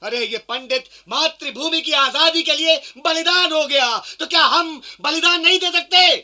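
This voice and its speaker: An actor playing an indignant, patriotic Indian sepoy in a radio play, declaiming with passion. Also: male voice, extremely high pitch, measured pace, pushed and bright, full character voice. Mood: rousing, furious. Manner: impassioned, declamatory.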